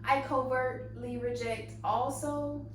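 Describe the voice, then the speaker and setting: A woman's voice: high-pitched, steady tone, slow pace. A woman of thirty co-hosting a casual podcast chat, blunt and teasing. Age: 30s. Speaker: podcast co-host. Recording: very echoey room, distant mic, hum.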